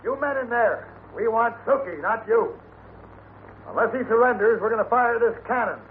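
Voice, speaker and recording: An actor playing a stern gunfighter calling out loud, commanding ultimatums in a 1950s Western radio drama. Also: male voice, very high voice, normal speed. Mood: stern, threatening. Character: gunfighter shouting stern ultimatums at holed-up outlaws. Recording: muffled old radio recording, faint hiss.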